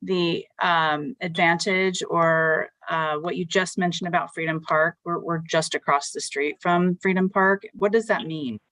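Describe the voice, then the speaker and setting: A woman's voice: on the low side, steady tone, a little slow, few pauses, a bit bright, no echo, rough recording. A woman taking part in a conference call, asking a question in casual speech.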